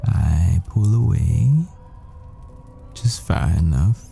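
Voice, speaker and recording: A man playing a tender, desperate boyfriend at the world's end in an intimate ASMR roleplay, murmuring breathily and haltingly. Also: low-pitched, lively intonation, gravelly, mellow, faint music behind, full character voice. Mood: tender, teasing.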